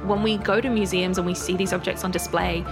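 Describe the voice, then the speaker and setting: A woman's voice: lowish voice, steady tone, fast talker, music in the background. A woman historian, speaking in a friendly, thoughtful way. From London. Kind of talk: casual conversation.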